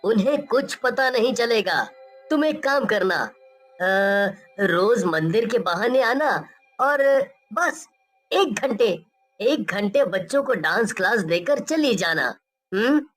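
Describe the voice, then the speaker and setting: A woman's voice: fairly high pitch, animated, takes it slowly, soft background music. A woman voicing a friendly, persuasive young man who runs a dance school in a cartoon story, coaxing and admiring. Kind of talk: Hindi cartoon story acting.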